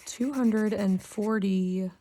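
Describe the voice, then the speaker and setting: A woman hosting a coloring channel, chatting casually while she works, with a raspy voice and a soft, relaxed, slightly self-conscious delivery that often drops to a half whisper. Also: medium pitch, slow, a little hiss or hum. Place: home.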